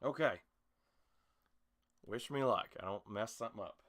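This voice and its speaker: A man's voice: lowish voice, moderate pace, fairly bright tone. A man chatting casually to camera in an easygoing, self-deprecating, rambling way as an amateur voice actor.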